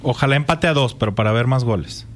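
A man co-hosting a casual football radio show, chiming in with quick, joking remarks. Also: medium pitch.

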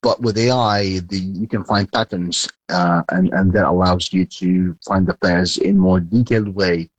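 A man answering news interview questions as a company chairman, halting with many hesitations and restarts. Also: deep voice, steady tone, no echo, lo-fi sound. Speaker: sports data company chairman, interview guest.

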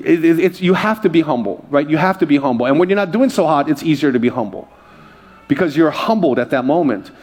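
A man from Hawaii preaching a sermon, exhorting the congregation and calling out for amens, his voice swinging between loud high bursts and low quiet asides. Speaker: pastor.

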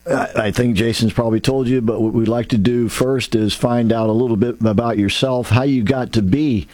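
A man's voice: medium pitch, fairly even intonation, moderate pace, a little mellow, no room sound. A man hosting a talk show, rambling and folksy, with many restarts and asides. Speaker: radio show host. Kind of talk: casual radio talk show.

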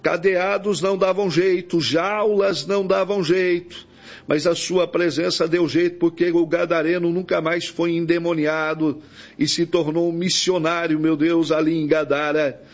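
Male Brazilian evangelical preacher, slightly high gravelly voice, pleading prayer full of invocations, dull recording. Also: level tone, a little slow. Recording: dull, lo-fi audio.

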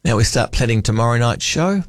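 A man's voice: medium pitch, expressive, a little fast, dry recording, clean studio sound. A man with a New Zealand accent hosting a late-night talk radio show, chatty and wry, musing aloud. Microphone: studio microphone.

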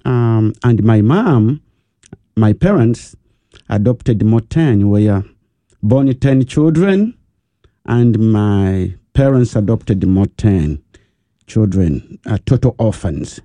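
Slow, mellow Ugandan priest. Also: male voice, on the low side, lively intonation, no echo. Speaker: pastor, guest. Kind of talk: radio interview. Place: radio studio.

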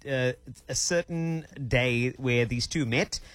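Chatty South African radio host. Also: male voice, medium pitch, a little slow, a bit bright, no echo. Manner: chatty, laughing, storytelling. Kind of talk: casual radio chat. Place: radio studio. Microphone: studio microphone.

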